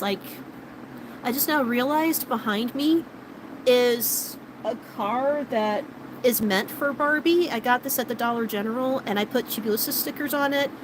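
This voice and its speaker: Late-30s woman vlogger, rambling and casual, fairly high voice going a bit hoarse, noisy background. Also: measured pace. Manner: rambling, chatty, many fillers and restarts. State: starting to lose her voice. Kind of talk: casual chat with live viewers.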